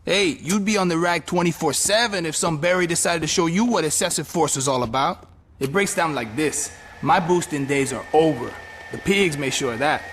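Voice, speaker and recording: An actor playing a bitter, prickly chop-shop boss in a video game, slangy and abrasive. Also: male voice, fairly high pitch, moderate pace, fairly bright tone, breathy edge. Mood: bitter, businesslike. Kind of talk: video game cutscene acting.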